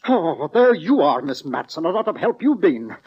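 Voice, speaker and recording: A temperamental, overwrought conductor in a 1940s radio melodrama. His high, husky voice swings theatrically with despair and accusation, on a dull old recording. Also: sing-song, normal speed, a little mellow, full character voice. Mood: bitter, accusing.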